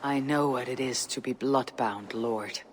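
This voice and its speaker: An actress playing a calm, loyal woman viking raider who speaks in a low-key, earnest way. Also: unhurried. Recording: game cutscene with loud background noise.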